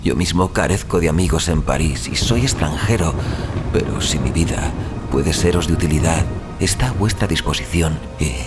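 Man acting breathy, earnest young student. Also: rumbling low, lively intonation, normal speed. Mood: earnest devotion. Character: gentle young German student, agitated, awestruck, devoted. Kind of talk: dramatized audiobook dialogue. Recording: music behind the voice.

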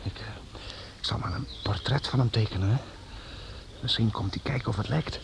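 A man's voice: lowish voice, brisk, vocal fry. An actor playing a polite, curious young painter's apprentice in a radio drama, courteous and a little hesitant in his speech. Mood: wary, calm. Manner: courteous, hesitant, stammering when addressing his elder. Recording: noisy old radio drama recording.

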